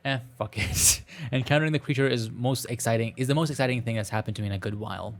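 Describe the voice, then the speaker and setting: A man's voice: medium pitch, fast, a little hiss or hum. A man hosting a gameplay video, reading a visual novel aloud with playful, self-mocking commentary and stumbles over the text. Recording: music playing behind the voice. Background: music.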